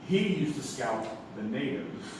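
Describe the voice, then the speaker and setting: An actor playing a frantic, sardonic young nephew in a comic stage play, flustered and sputtering. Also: male voice, medium pitch, sing-song, measured pace. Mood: uneasy, resigned. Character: frantic, exasperated theatre critic nephew, sardonic young man. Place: small theatre, live performance. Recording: echoey stage recording from far off, noisy.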